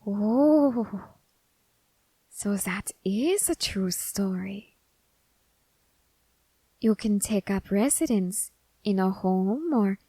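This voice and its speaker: Woman doing androgynous reaper, teasing, whispery, slow, dry close recording. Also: medium pitch, dark tone, slightly rough, dry recording. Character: curious androgynous grim reaper, teasing and coolly menacing. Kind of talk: ASMR audio roleplay.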